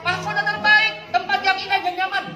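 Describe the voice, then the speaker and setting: A woman voicing a whiny Paradise in a children's religious tale, pleading and longing in drawn-out tones. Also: extremely high pitch, level tone, voice pushed up. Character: whining, pleading Paradise longing for the best human. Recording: echoey PA, distant, with crowd and music.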